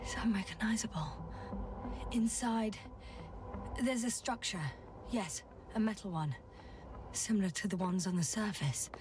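A woman voicing an observant, level-headed space scientist in a video game, reporting what she sees in a measured way. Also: medium pitch, distant mic, noisy background, close to an everyday voice. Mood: calm, observant.

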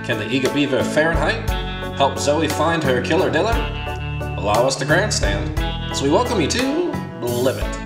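An old-time radio announcer opens the show. The delivery is animated and wide in pitch. It sits in echo, with loud guitar music playing. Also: normal speed. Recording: echoey, loud music behind.

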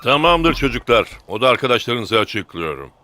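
A man's voice: mid-range voice, sing-song, fairly quick, bright, forceful tone, faint music behind. A man voicing a soccer coach addressing the team in a dubbed cartoon. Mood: businesslike.

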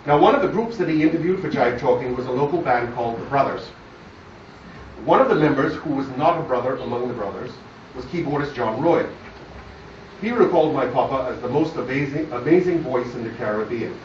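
A man's voice: mid-range voice, expressive, a little fast, slight background noise. Distant, echoey Barbadian man giving a eulogy. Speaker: son delivering a eulogy for his father. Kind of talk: scripted memorial speech to an audience. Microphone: phone camera far from the speaker. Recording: distant, muffled phone recording, echoey room.

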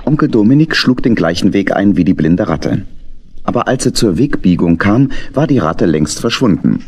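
A man's voice: on the low side, big pitch swings, normal speed, darkish tone, slightly rough. A man narrating a scripted children's story as the narrator of an audio play.

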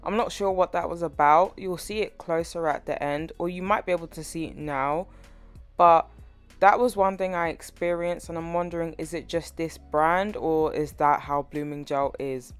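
A young woman with a low voice that is a little hoarse from a sore throat talks through a nail tutorial voiceover in a chatty, rambling way. Faint music plays under her. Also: steady tone, normal speed. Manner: chatty, rambling, self-deprecating with laughs. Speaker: nail art tutorial host. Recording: voice-over with faint background music.

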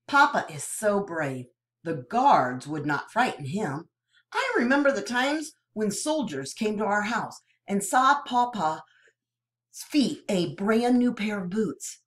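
A woman narrating a novel aloud in the first person, with an expressive storytelling delivery. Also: medium pitch.

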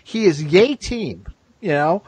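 A man's voice: high voice, expressive, slow pace, darkish tone, husky, dry recording. A man hosting a podcast, chatting casually as a fan in a talkative, emphatic way. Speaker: podcast host.

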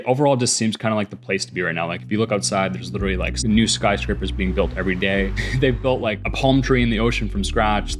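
A young Canadian man talking straight to camera in a matter-of-fact, explanatory way as a vlog host, close to a studio microphone. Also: fairly low pitch. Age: young adult. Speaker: host, e-commerce entrepreneur. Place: small indoor studio room. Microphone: boom-arm broadcast mic close by. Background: soft background music with guitar.

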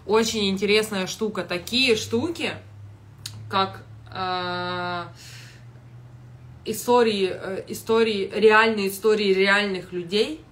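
A young woman giving casual video commentary in a blunt, halting, heavily sweary manner, recorded on a phone in a kitchen. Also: medium pitch, fairly bright tone. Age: young adult. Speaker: reality show commentator. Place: small kitchen indoors. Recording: noticeable background noise.

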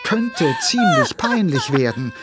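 A man narrating a children's audio drama as a storyteller, scripted, dramatic and expressive. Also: high-pitched, slow pace, loud music behind the voice, full character voice.

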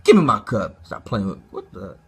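A man voicing a comic talking otter, husky and exasperated, complaining in everyday speech. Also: fairly low pitch, wildly swooping pitch, normal speed, heavily acted.

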